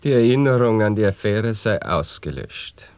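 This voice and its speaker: A man's voice: fairly low pitch, expressive, moderate pace, a little mellow, dry recording. A man reading experimental sound poetry in a radio play, with terse, clipped interjections.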